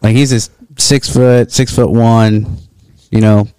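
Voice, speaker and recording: Smooth-voiced man telling rambling stories. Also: mid-range voice, steady tone, slow pace, dark tone, no room sound. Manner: rambling storyteller, lots of "like" fillers. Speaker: podcast co-host. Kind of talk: casual chat.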